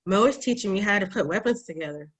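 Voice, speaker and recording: A woman's voice: mid-range voice, lively intonation, fast talker, close to an everyday voice. A woman playing a cheeky, insistent young girl who is eager to learn, in a drama table read.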